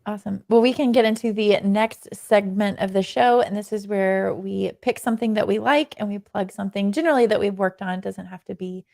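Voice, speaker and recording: Curious woman podcast host, gravelly. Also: medium pitch, fairly quick, hardly any pauses, a little mellow. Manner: curious, self-deprecating questions. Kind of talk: casual interview chat.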